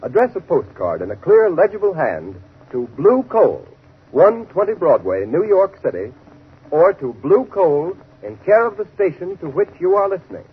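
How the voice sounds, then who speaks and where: A man's voice: sits high, very animated, normal speed, breathy edge. A man announcing on 1930s radio in a formal, emphatic scripted sales style. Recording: tinny, noisy 1930s radio broadcast recording.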